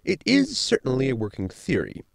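A man playing a pedantic, eccentric science officer, formal and polite, with a creaky voice, in a sci-fi comedy audio drama. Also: mid-range voice, huge pitch swings, normal speed, no room sound, clean studio sound, full character voice. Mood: precise.

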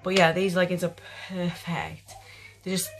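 A woman's voice: lowish voice, level tone, measured pace, a little hiss or hum. Chatty, sweary British woman. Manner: informal, sweary, rambling. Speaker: fitness clothing reviewer. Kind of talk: casual chatty try-on review. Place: small bedroom at home.

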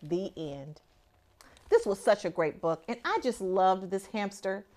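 A middle-aged woman reading a children's picture book aloud in a deliberate, emphatic, theatrical way. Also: mid-range voice, lively intonation, a little mellow.

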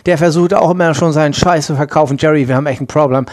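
A man's voice: on the high side, steady tone, fast, darkish tone, no echo, clean studio sound, natural voice. A man voicing a hot-tempered, bullying, slangy church man in a crude comedy skit, swearing and mixing English into German. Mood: ranting, slangy.

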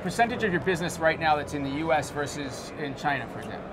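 A man in his thirties hosting a casual interview, asking questions in an animated, conversational way. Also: on the high side, very fast. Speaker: interviewer, host. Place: sneaker shop showroom, indoors. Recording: clear background noise.

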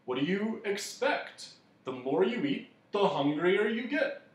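A man's voice: high voice, very animated, measured pace, far from the mic, voice pushed up. A man voicing an insistent, impatient magician of mathematics in a storybook, emphatic and matter-of-fact. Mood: impatient explaining. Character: insistent, impatient male mathematician-magician, a blithely logical host. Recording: echoey room.

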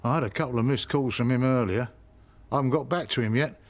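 A male actor plays a middle-aged British ex-policeman in a radio soap. He is dry, grumpy and suspicious, speaking in an ordinary, naturalistic way at a medium pitch. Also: fast talker, natural voice. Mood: dry, weary.

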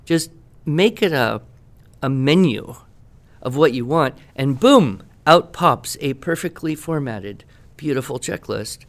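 A middle-aged man with an American accent talks as a podcast expert. His voice sits at an ordinary male pitch. He speaks conversationally, with enthusiasm and emphasis, like a teacher promoting a useful tool. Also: expressive, takes it slowly. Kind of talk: conversational product talk on a podcast.

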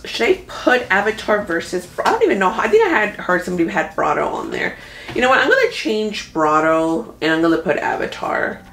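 A woman in her thirties talking casually as a movie vlogger, thinking out loud in an informal, conversational way. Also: mid-range voice, very animated, fairly quick, a little hiss or hum. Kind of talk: casual chatty commentary. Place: small room at home. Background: background music.

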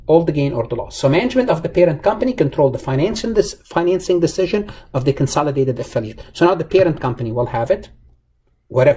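Husky male accounting lecturer, mellow tone. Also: on the high side. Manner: emphatic, repetitive, step-by-step explaining. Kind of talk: explanatory lecture over slides.

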